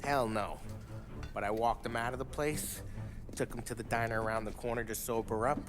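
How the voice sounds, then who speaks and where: An actor playing a brash, excitable American gangster henchman in a game cutscene, talking in cocky street slang. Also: mid-range voice, normal speed, close to an everyday voice. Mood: storytelling, sly. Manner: slangy, cocky, animated street-tough talk. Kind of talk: video game cutscene acting. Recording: music behind the voice.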